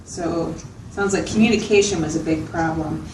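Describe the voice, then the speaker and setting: A woman playing a patient, attentive mental health clinician who explains things in a measured way and checks for agreement. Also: deep voice. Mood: attentive, checking. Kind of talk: acted clinical interview, explanatory. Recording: roomy, distant mic, noticeable background noise.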